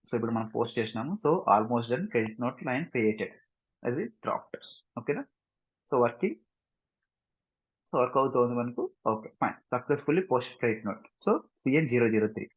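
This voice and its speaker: A man with a Telugu Indian accent giving an informal screen-share software tutorial, mixing in English technical terms and often checking understanding with short tag questions. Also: mid-range voice, a little slow, slightly rough. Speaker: software instructor. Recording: dull, muffled lo-fi sound.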